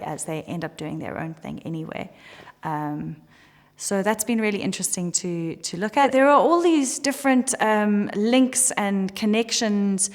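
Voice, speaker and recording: A young woman from South Africa, an artist talking thoughtfully in a documentary interview, with many pauses and fillers. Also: fairly low pitch, long reverb tail. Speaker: artist in residence.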